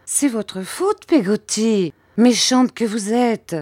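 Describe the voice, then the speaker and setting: A woman's voice: mid-range voice, animated, a little slow, a little mellow, no echo, studio quality, pitched above the performer's own voice. A woman voicing a petulant, self-pitying young mother in an audiobook, plaintive and exclaiming. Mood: petulant distress.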